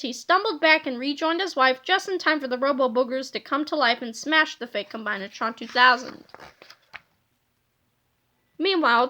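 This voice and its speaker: A woman reading a children's book aloud with expressive storytelling. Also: high voice. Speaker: storybook reader.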